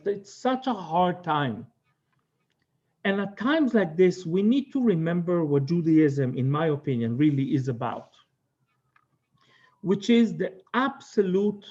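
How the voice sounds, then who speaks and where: A high-pitched man with an Israeli accent leads a study session, speaking earnestly and thoughtfully and pausing often. The recording sounds dull and lo-fi. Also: darkish tone. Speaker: teacher. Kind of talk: text study lecture.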